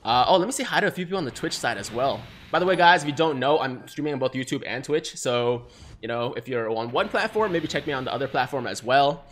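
A young man from China, a native Mandarin speaker, excitedly streaming live gaming commentary on a headset and reading chat aloud. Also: medium pitch, fast talker, slight background noise. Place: small room, seated in gaming chair.

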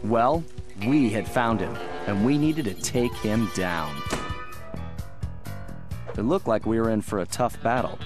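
A man's voice: lowish voice, a little slow, long pauses, natural voice. A man voicing a suave, cocky young raccoon thief in a wry, confident cartoon game style. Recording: music behind the voice.

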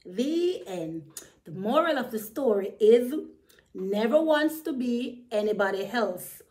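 A woman's voice: fairly high pitch, animated, slow, a little mellow. A woman storyteller reading aloud for children. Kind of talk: read-aloud narration for children.

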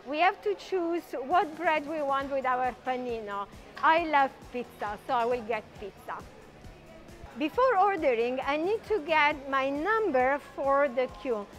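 Middle aged italian lady teaching at a food market, italian accent, slow and high voice, explains words twice. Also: fairly bright tone. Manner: didactic, repeats key words with translations. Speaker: language and culture teacher. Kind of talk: informal on-location teaching, presenting to camera. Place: food market stalls and deli shop.

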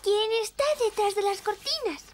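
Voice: very high-pitched, normal speed, background noise. An actor voicing a small, giggly, childlike creature for a preschool television show, babbling and exclaiming in short simple phrases. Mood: curious, excited. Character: small giggly childlike toddler creature, singsong and easily delighted.

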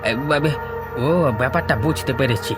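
A man's voice: on the high side, moderate pace, close to an everyday voice. A man voicing a timid, bewildered customer in a horror cartoon, stammering and exclaiming plaintively. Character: bewildered, timid man lost at a haunted market. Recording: music behind the voice.

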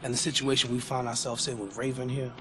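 An actor playing a principled, wry ex-special-forces mutant soldier in a video game cutscene, plain-spoken in manner. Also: male voice, mid-range voice, flat delivery, fast talker, very noisy, lightly acted. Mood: wry, easy. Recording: music behind the voice. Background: music.

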